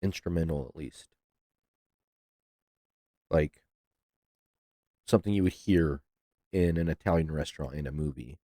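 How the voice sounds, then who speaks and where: A man's voice: rumbling low, measured pace, pauses often, warm tone, no room sound, studio quality. A man hosting a reaction video, talking casually with loose swearing and laughs. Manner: laid-back, swears freely, laughs.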